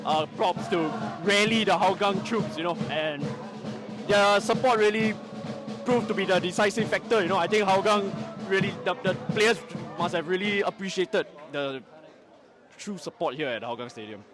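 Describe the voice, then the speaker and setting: Hoarse high-voiced man vlogging. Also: moderate pace, music in the background, low-quality recording. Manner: excitable, rambling with fillers. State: losing his voice, hoarse. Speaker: football vlogger. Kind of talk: casual post-match vlog. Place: outside a football stadium. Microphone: handheld phone with clip mic.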